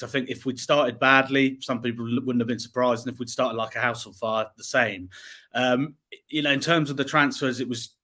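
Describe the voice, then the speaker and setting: A man's voice: mid-range voice, fairly bright tone. A man answering as a guest on a podcast, thinking aloud and often restarting his sentences. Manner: thoughtful, with repeated starts. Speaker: podcast guest, fan site writer. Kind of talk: casual interview chat.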